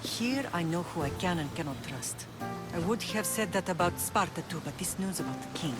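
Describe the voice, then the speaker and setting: An actress playing a commanding, protective middle-aged Spartan mother and leader in a video game, speaking in a measured, formal, authoritative way. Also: lowish voice, animated, over loud music, lightly acted. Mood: grave. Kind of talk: dramatic video game acting.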